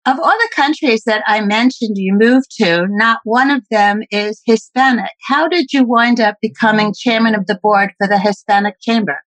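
A woman host with a slightly high voice reads a guest's bio, then asks clear, measured questions. Her sound is dry and close to the mic. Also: fairly bright tone, dry recording, poor audio. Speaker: interview host. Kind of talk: reading an introduction, then asking questions.

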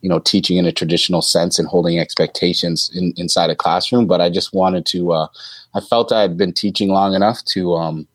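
A man in his thirties, a teacher, answering interview questions in a deep voice, thoughtful and hesitant with many ums and restarts. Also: fairly even intonation, fairly quick, dry recording. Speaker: teacher guest.

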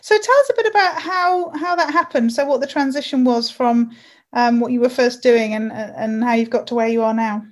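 A woman hosts her podcast with a high, bright-sounding voice. She reads her opening and then asks warm, encouraging questions of her guest. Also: normal speed. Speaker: podcast host. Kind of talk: scripted intro and interview questions.

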